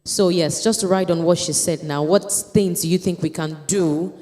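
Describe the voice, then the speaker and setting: A woman moderates a community panel, linking speakers with casual, conversational questions. Her voice sits on the low side. A long church-hall reverb hangs on every phrase. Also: steady tone, normal speed, mellow. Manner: casual, checks in with "right?".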